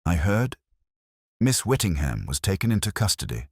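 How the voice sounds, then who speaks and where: A man performs a shaken, remorseful gentleman in an audio detective tale. The voice is very deep and hoarse, halting and subdued yet formal. It is recorded dry. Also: big pitch swings, no echo, heavily acted. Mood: anxious. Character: shaken, remorseful male servant of the law, pale and stiff.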